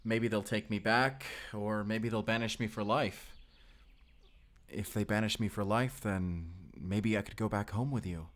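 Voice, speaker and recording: An actor playing an earnest, tender Spanish vampire slayer in an audio roleplay, speaking deliberately and intimately to the listener with a Spanish accent. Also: male voice, fairly low pitch, a bit bright. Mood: warm, thoughtful. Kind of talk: cinematic audio roleplay acting.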